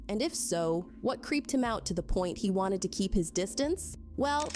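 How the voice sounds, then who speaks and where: A woman's voice: medium pitch, a little mellow. Female gossip narrator over music. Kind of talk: scripted gossip video narration. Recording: voice-over with clear background music.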